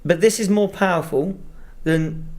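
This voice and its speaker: A man's voice: sits high, unhurried, a crowd in the background. A man with an English accent that drifts toward Australian, co-hosting a casual podcast chat and ranting with plenty of swearing. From England. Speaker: podcast co-host. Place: Sydney.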